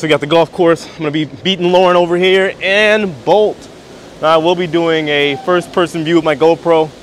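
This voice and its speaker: A man's voice: a little high, slight background noise. A man in his thirties casually narrating a travel vlog to viewers, recorded at arm's length while walking around. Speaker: travel vlogger. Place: cruise ship interiors and open decks. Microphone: handheld phone or camera at arm's length.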